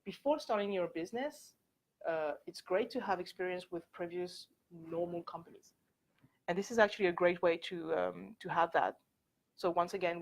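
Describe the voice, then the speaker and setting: A young woman with a French accent speaks to a room of students in a loose, candid way, leaning on "like" and "actually" as she goes. Her voice sits a little low, and she sometimes drifts away from the mic. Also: low-quality recording. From France. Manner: chatty, candid, filler-heavy with frequent "like" and "actually". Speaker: workshop speaker. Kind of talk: informal presentation to students. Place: university lecture room.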